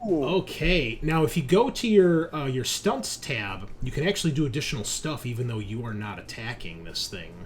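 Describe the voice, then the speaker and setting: A man's voice: medium pitch, big pitch swings, normal speed, crowd noise. A man running a tabletop roleplaying game as game master, talking casually and with enthusiasm, swearing now and then. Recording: music playing behind the voice. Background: music.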